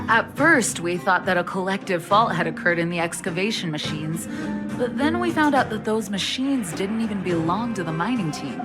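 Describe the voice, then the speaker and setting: A weary, shaken woman miner from a video game, voiced by an actress, with a middle-pitched voice. She speaks in an earnest, friendly way with hesitations and sighs, over clearly audible music. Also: expressive, normal speed, close to an everyday voice. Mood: weary, explaining. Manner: sighing, hesitant.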